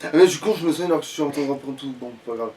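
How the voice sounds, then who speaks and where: A man's voice: mid-range voice, very fast, dark tone, distant mic, slight background noise. A man playing a video game and chatting casually, muttering and swearing in crude slang as he jokes around. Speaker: let's play gamer.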